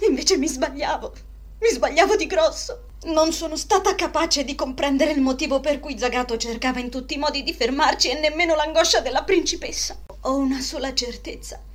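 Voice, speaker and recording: A woman's voice: high voice, close to an everyday voice. A woman voicing an anguished, earnest teenage magic knight heroine in a dramatic, fervent amateur dub. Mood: remorseful, determined. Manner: dramatic, fervent monologue delivery. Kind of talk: Italian amateur anime fan dubbing. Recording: homemade lo-fi desk microphone, music behind.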